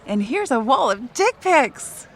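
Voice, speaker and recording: A woman's voice: high voice, very animated, slow pace, a bit bright, slight background noise, heavily acted. An actress playing a flippant young woman on a date, teasing and casual, in natural romcom audio drama dialogue. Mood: frank, playful.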